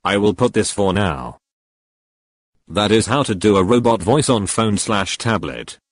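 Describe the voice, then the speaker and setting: A man's voice: fairly low pitch, expressive, measured pace, dry recording, clean studio sound. A synthetic, robotic male text-to-speech voice reading a scripted tutorial in a stiff, evenly phrased way. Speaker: tutorial video host.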